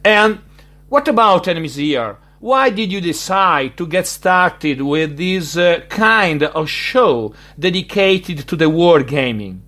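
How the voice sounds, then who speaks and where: A man's voice: on the high side, lively intonation, takes it slowly, sharp tone. An Italian man with an Italian accent hosting a casual interview, speaking hesitantly with many fillers. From Italy. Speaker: podcast host.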